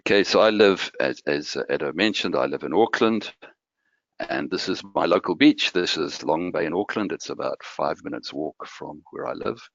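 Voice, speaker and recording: A man from Malawi presents a technical talk in a very low, gravelly voice. He explains in a relaxed way and sometimes restarts a phrase. The audio is dry and dull, like a remote call mic. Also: normal speed, no echo. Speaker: guest speaker.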